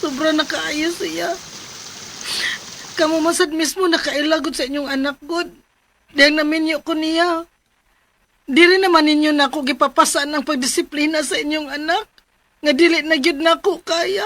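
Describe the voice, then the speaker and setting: High-voiced, pleading battered young mother. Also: steady tone, moderate pace, long pauses, fairly bright tone, dry recording. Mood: anguished pleading. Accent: Cebuano. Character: battered young mother, desperate, leaving her abusive husband. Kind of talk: radio drama acting.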